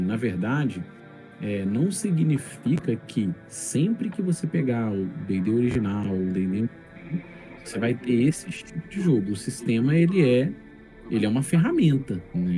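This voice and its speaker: A man's voice: mid-range voice, very animated, normal speed, warm tone. A middle-aged man with a Brazilian accent explaining things in casual, articulate podcast talk, often checking for agreement. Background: faint music.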